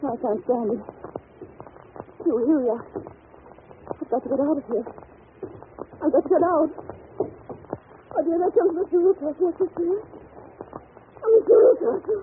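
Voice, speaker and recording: An actress playing an earnest, anxious young Victorian governess in an old radio drama, polite and breathless, on a tinny, noisy recording. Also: female voice, high voice, a little slow, long pauses. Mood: frightened. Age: young adult.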